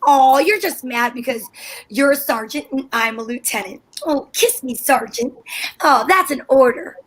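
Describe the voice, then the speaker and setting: A woman plays a scheming wife from an old-time radio comedy. Her voice is very high, and she talks in theatrical exclamations. She is bossy and wheedling, always bluffing with confidence. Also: expressive, moderate pace, rough recording. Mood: playful impatient.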